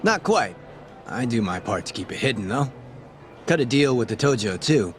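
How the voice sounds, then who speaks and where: A man acting as a cocky dirty detective in a game cutscene, sly and wry. His middle-pitched voice swings freely as he teases, with faint noise behind him. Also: very animated, moderate pace, natural voice. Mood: breezy, cocky. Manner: breezy, cocky, wry, teasing. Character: smug, sly corrupt male police detective selling information. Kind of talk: English video game dub cutscene acting.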